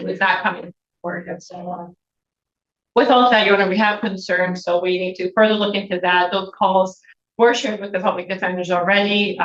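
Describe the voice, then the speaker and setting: American lady prosecutor, lowish voice, formal and halting, muffled echoey courtroom sound. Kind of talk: courtroom argument to a judge. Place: indoor courtroom.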